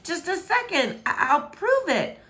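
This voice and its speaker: A woman's voice: very high voice, very animated, measured pace, sharp tone, pitched above the performer's own voice, exaggerated voice. A woman voicing a coy, stubborn, bookish young princess in a children's storybook, with a wheedling air of innocence. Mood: flustered. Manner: feigned innocence, hesitant, wheedling. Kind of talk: expressive children's storybook read-aloud.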